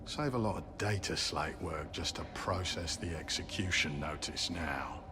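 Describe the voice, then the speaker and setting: A man's voice: low-pitched, a little slow, background noise. An actor playing a servile yet cruel prison-ship guard in a game cinematic, sardonic and contemptuous, fawning to his superiors. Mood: scornful, mocking. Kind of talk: dramatic game cinematic acting.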